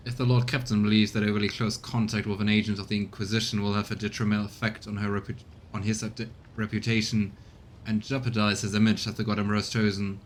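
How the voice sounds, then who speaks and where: A man playing an earnest, restrained inquisition agent in a video game, speaking formally and hesitantly to a lover. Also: lightly acted. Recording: low rumbling background noise.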